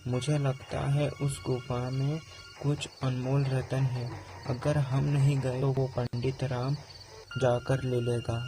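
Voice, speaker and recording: A man acts out a naive young scholar from a cartoon fable, earnest and theatrical. He talks at a slow, spelled-out pace in a mid-pitched voice. Clear music runs underneath. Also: flat delivery, close to an everyday voice. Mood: sly, curious. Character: foolish, excitable young Brahmin student travelling through a forest. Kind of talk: Hindi cartoon moral-story dialogue.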